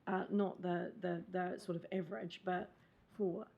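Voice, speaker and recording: Even-toned, hesitant New woman. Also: lowish voice, unhurried, creaky, dull audio. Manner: hesitant, many fillers. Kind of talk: council meeting discussion.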